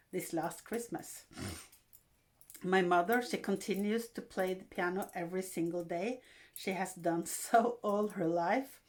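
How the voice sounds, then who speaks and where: A woman in her sixties from Norway with a Norwegian accent, hosting a casual vlog in English and talking in a halting way with fillers and restarts. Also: on the low side, darkish tone. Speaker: vlog host.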